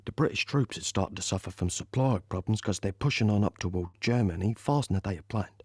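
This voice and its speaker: An actor playing a chatty working-class British army private in an audio play, telling his story eagerly and colloquially in a slightly husky voice. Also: on the low side, fairly quick, darkish tone, no room sound, studio quality. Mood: excited, proud.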